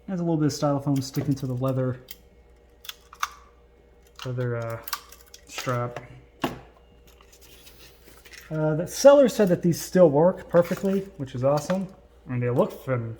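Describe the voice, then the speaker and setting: A man giving casual, unscripted unboxing commentary in a halting, hesitant way. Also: mid-range voice, mellow, slight background noise. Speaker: vlogger doing an unboxing.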